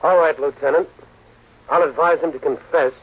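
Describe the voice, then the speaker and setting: A sardonic lawyer-detective who narrates and trades quips with the police. His husky voice sits in the middle and has a dry wit. The sound is a thin, phone-like old radio broadcast. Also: fairly high pitch, steady tone, normal speed. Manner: wry, sardonic. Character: wisecracking male lawyer-detective. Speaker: narrator and lead. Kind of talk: 1940s radio acting.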